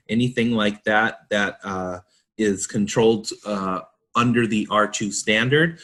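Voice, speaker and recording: Low voiced guy consultant explaining standards on a panel, kinda hesitant and halting. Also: a bit bright. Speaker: consultant discussing a recycling standard.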